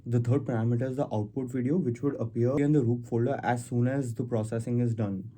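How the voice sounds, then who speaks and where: A man hosting a casual software tutorial, explaining things step by step as he goes. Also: mid-range voice, fairly even intonation, a little fast. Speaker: tutorial host. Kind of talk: casual screen-recorded software walkthrough.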